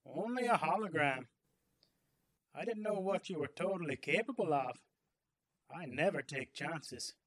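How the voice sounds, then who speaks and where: A man's voice: on the high side, animated, a little slow, long pauses, a bit bright, heavily acted. Man playing a smug, grandiose crime boss villain. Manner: grandiose, taunting.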